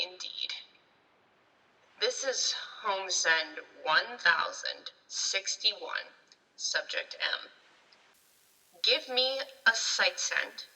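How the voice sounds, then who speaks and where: A woman's voice: on the low side, bright, forceful tone, far from the mic, exaggerated voice, low-quality recording. An actress in a radio drama playing a bitter, threatening woman with a hissing delivery.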